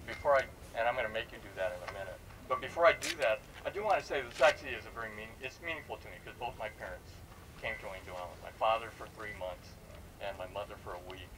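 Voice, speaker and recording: A man's voice: fairly low pitch, fast talker. A man speaking informally as he leads a discussion with students, probing with questions and repeating words. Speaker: panel speaker leading audience discussion. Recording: distant mic, noisy room.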